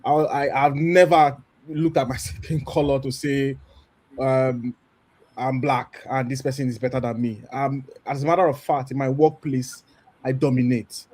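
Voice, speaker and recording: A Nigerian-accented man in his thirties, speaking on a church panel, telling his story with lively acted-out dialogue. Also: mid-range voice, a little slow. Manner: animated storyteller, acts out quoted dialogue. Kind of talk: candid testimony on a church panel.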